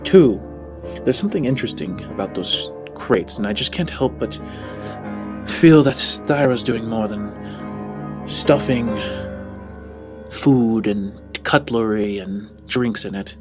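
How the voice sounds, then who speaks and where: A man playing a fussy, conspiratorial undercover partner in a tabletop game, talking haltingly and discreetly. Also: on the low side, animated, music in the background. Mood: discreet, uneasy.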